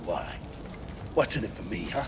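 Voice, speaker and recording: An actor playing a wounded, cornered corporate man in a video game, loud, desperate and belligerent. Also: fairly low pitch, expressive, normal speed, heavy background noise, close to an everyday voice. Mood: wary, strained. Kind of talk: dramatic video game acting.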